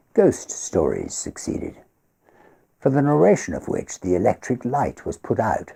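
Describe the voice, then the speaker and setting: Male storyteller, low and very husky, theatrical measured phrasing for literary ghost tales. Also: mellow. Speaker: story reader.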